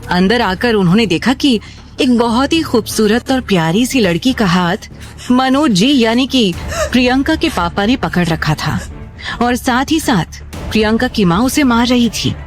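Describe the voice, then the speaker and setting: A woman's voice: a little high, expressive, measured pace. Woman narrating drama over faint music. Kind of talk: scripted dramatic story narration. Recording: music under the voice.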